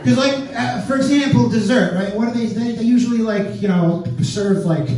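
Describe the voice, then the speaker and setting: A man's voice: very high voice, moderate pace, darkish tone, recorded from a distance. A man from Staten Island doing a profane stand-up set on a club stage, riffing and quizzing the crowd. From Staten Island, New York. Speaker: stand-up comedian. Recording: echoey club, picked up from afar. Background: laughing audience.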